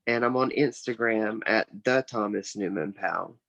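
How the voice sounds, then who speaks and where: A man's voice: on the low side, normal speed, vocal fry, a bit bright. A young man from South Carolina speaking casually and earnestly as an author being interviewed. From South Carolina, United States. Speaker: guest author.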